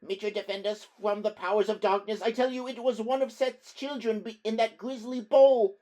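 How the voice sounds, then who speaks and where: A man's voice: high voice, fairly even intonation, normal speed, mellow, pitched above the performer's own voice, natural voice. A man voicing a frightened male temple clerk whose voice shrills and cracks with panic. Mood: hysterical.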